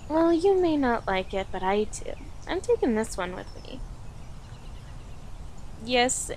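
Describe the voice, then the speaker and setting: Playful, unhurried girlfriend acted by a woman, ambient noise behind. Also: on the high side. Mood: playful, teasing. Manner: teasing, giggly, conversational with pauses. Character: playful, affectionate young girlfriend on an autumn date. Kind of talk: intimate audio roleplay, one-sided conversation.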